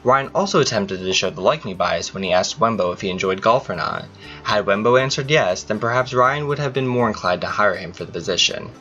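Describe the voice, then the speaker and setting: Male narrator, deep and bright-toned, brisk scripted delivery. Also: lively intonation, highs cut off, soft background music. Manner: reads evenly from a script.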